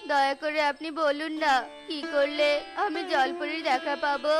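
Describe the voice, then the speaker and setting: A woman's voice: high voice, little pitch movement, normal speed, music in the background, lightly acted. A woman voicing an eager, trusting young bird who leads the flock, earnest and pleading.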